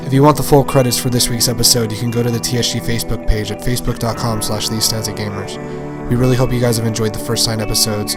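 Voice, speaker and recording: Male announcer, scripted podcast outro, credits reading, music behind. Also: medium pitch.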